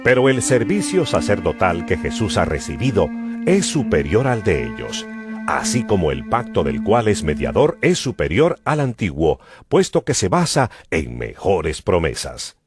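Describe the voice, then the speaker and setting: A man's voice: sits low, huge pitch swings, normal speed. A man narrating dramatized scripture in an emphatic, declamatory manner. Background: music.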